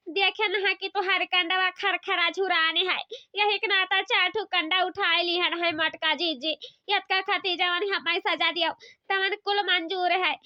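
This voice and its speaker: A woman's voice: very high voice, no room sound, lo-fi sound. A woman voicing a pleading, apologetic village woman in an Awadhi comedy cartoon, whining and repeating her pleas in an Awadhi accent. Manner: repetitive begging.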